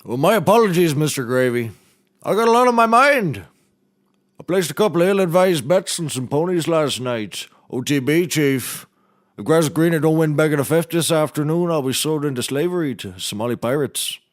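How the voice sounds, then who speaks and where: A man acting as a bumbling, folksy handyman who has turned courtroom lawyer in a comedy. His voice sits a little high, and he speaks with mock formality while drifting off the point. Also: animated, normal speed, close to an everyday voice. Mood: scatterbrained, self-assured.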